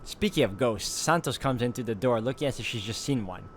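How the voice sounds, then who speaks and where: A man's voice: medium pitch, animated, brisk, a little hiss or hum. A man hosting a let's play, reading visual novel narration aloud and dropping in casual asides.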